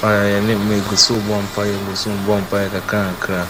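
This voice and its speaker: A young man phones in to a radio prayer program and tells a rambling testimony. His voice is low and flat, with little rise and fall. The line carries clear background noise. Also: a little fast. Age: young adult. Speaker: caller.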